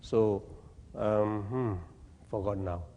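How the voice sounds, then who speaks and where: A man from Tibet, giving a Buddhist teaching in halting, plain English with gentle humor. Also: fairly low pitch, steady tone, big-room sound, slight background noise. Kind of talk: dharma talk to an audience. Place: talk venue with audience.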